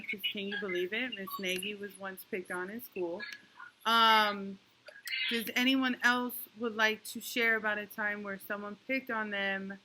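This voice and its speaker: A woman teaching a young class online and checking often that the children follow, speaking slowly and patiently. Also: medium pitch, sharp tone, slight background noise, rough recording. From New York. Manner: patient, encouraging, talks slowly to kids with many "okay?" checks. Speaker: elementary school teacher.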